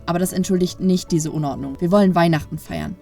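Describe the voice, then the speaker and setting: A woman's voice: lowish voice, fast talker, vocal fry, warm tone, soft background music. A woman playing a stern, exasperated mother who scolds reproachfully in a children's audio play.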